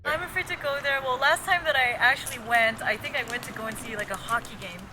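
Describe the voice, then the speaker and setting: A woman's voice: high-pitched, fast talker, music in the background. A young woman chatting bluntly and jokingly in a street interview. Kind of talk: casual street chat. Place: outdoors on a city street. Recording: street traffic noise.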